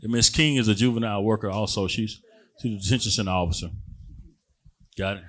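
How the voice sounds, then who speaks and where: A man's voice: on the low side, fast. A man moderating a community panel, informal and direct, calling guests up and asking them for their stories.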